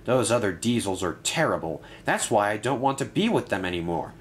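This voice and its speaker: A man's voice: fairly low pitch, very animated, brisk, slight background noise. A man voicing a nervous male runaway diesel engine that stammers and pleads.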